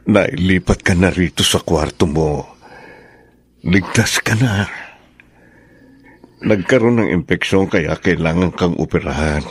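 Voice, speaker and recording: An actor with a Filipino accent playing a gentle middle-aged father in a radio drama, husky and tenderly reassuring. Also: deep voice, a little slow, pauses often, dry recording. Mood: tender reassurance. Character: gentle middle-aged father comforting his sick child.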